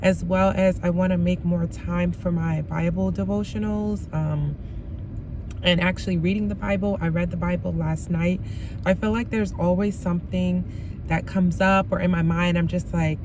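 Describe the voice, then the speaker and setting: Woman vlogger, slightly raspy, lowish voice, rambling filler-heavy chat, flavor, car noise behind. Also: steady tone, unhurried. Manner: rambling, many ums and likes. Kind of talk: casual vlog chat.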